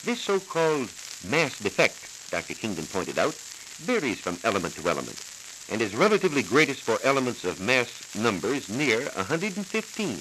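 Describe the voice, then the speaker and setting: An American man working as a science reporter, giving a formal, measured, scripted explanation in 1940s radio style. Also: medium pitch, very animated, a bit bright. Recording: hissy, crackly 1940s transcription disc.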